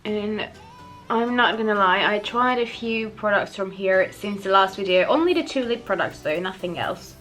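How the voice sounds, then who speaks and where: A young woman with a slightly croaky voice after the flu, chatting casually through a makeup tutorial and often correcting herself. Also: medium pitch, normal speed, a bit bright, soft background music, poor audio. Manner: chatty, self-correcting, giggly asides. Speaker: beauty vlogger.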